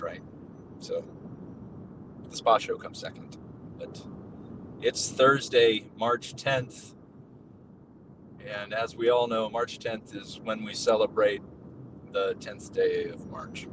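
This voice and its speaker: Man hosting a chat from a moving car. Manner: rambling and jokey. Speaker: host. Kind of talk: casual chat. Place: passenger seat of a moving car. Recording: distant mic with road noise. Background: car and road rumble.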